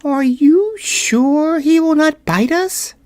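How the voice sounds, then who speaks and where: A man voicing a tiny, proud female mouse queen in a squeaky falsetto for a storybook reading. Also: takes it slowly, darkish tone, no echo, clean studio sound. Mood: squeaky, regal. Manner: high, airy falsetto.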